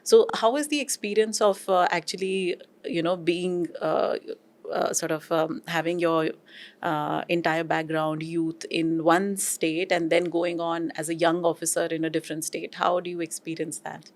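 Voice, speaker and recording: Low-voiced woman interviewer. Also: steady tone, normal speed. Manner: fluent, mixes in Hindi. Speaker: interviewer host. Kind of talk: interview questions.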